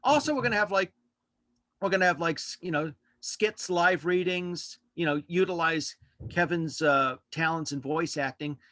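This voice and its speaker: A man talking casually as a podcast host being interviewed in a relaxed conversational exchange. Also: fairly high pitch, normal speed, dry recording. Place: indoors at home, on a video call.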